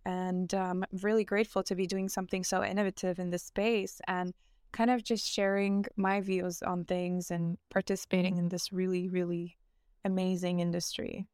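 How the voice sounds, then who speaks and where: A woman's voice: mid-range voice, darkish tone, smooth, dry recording, clean studio sound. A young adult woman answering interview questions in a casual, earnest way, with frequent fillers and restarts. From United States. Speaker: interview guest, fashion founder.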